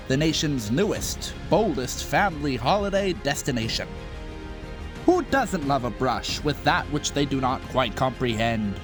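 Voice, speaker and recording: An actor playing a grandiose, cheerful theme park showman, narrating a scripted promotional video. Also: on the high side.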